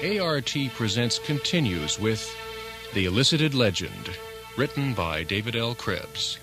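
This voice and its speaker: A man's voice: mid-range voice, very animated, a little slow. A man reading scripted radio drama credits as a formal announcer. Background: music.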